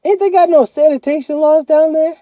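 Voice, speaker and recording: A man's voice: extremely high pitch, steady tone, a little fast, dark tone, dry recording, lo-fi sound, pitched above the performer's own voice. A man reading a children's novel aloud as a streetwise, wisecracking teenage boy who complains in slangy disbelief. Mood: indignant, incredulous.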